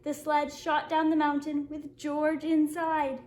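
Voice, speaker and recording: A woman reading a children's picture book aloud as a story-time host, in an expressive, deliberate storytelling manner for young children. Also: high voice. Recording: roomy echo, faint background noise.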